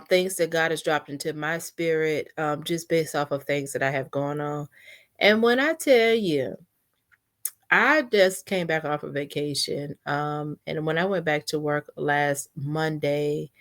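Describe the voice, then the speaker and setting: A woman chatting casually in a rambling, unscripted vlog, sounding a little congested, on a built-in computer mic. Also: low-pitched. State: mildly congested, sniffly. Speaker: vlogger practicing for a podcast. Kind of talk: casual personal vlog chat. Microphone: built-in computer mic, no external microphone.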